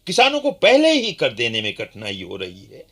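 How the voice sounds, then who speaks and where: An actor playing an earnest Indian freedom-era traveller in a Hindi radio play, telling of uprisings in an animated, emphatic way. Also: male voice, mid-range voice, normal speed, exaggerated voice. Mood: admiring. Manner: animated, emphatic, declamatory when quoting. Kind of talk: Hindi radio play dialogue, dramatic storytelling.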